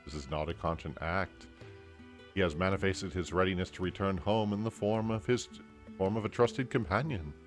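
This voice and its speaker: A man voicing an aloof, inquisitive female mage in an amateur game dub, lifting his voice into a measured higher register. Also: fairly even intonation, soft background music, lightly acted. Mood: explaining.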